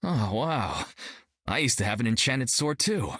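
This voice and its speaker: A man's voice: mid-range voice, expressive, measured pace, fairly bright tone, dry recording, studio quality. A young actor playing a brash, cocky male martial artist in a video game, joking and upbeat. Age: young adult.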